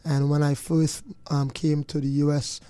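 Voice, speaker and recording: Guest: man, thirties, earnest and reflective, mellow tone, dry close sound. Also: fairly high pitch, level tone, normal speed, no room sound. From Trinidad and Tobago. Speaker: guest, former player and coach. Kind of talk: casual interview chat.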